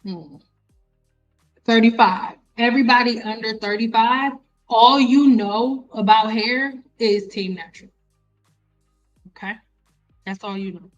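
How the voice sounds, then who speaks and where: 30s woman doing a livestream lecture, kinda high voice, says stuff over again for emphasis, bit of music behind. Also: unhurried, long pauses, dull audio. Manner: emphatic, repeats phrases for emphasis. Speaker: host, hair care educator. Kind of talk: live stream lecture to viewers.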